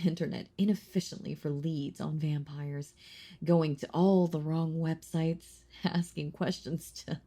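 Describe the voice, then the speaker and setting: A woman voicing a possessive, centuries-old vampire in an intimate audio roleplay, husky and breathy, teasing and condescending, slipping into whispers. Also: fairly low pitch, heavily acted. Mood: amused teasing. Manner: slow, condescending, teasing, softly chuckling. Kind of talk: intimate audio roleplay monologue addressed to listener.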